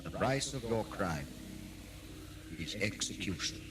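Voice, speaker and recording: Casting: stern, doom-laden judge played by a man, low-voiced and measured, over clear background music. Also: expressive. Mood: stern, grave. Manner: solemn, portentous. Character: stern, grave male judge pronouncing doom. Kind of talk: sci-fi audio drama acting. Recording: second-generation tape copy under music.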